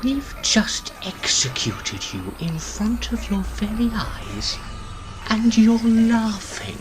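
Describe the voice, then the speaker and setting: A man's voice: high voice, wildly swooping pitch, slow, heavily acted, low-quality recording. A man playing a humourless, menacing arch-villain in a sci-fi audio drama, with theatrical delivery. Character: menacing, humourless male time-travelling arch-villain, the Master. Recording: loud music under voice.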